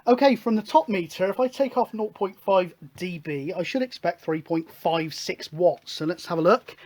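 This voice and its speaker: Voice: mid-range voice, moderate pace, a little mellow. A hobbyist radio show host giving an informal hands-on technical demonstration, chatting casually and thinking aloud.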